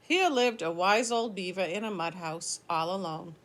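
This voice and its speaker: A woman's voice: on the low side, normal speed, fairly bright tone. An older woman reading a children's story aloud, explaining things simply as a teacher would to young listeners.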